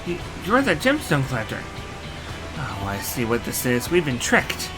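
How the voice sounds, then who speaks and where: Swaggering, sly bandit chief. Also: male voice, medium pitch, huge pitch swings, moderate pace, over music, low-quality recording. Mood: alarmed, angry. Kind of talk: fantasy video game voice acting.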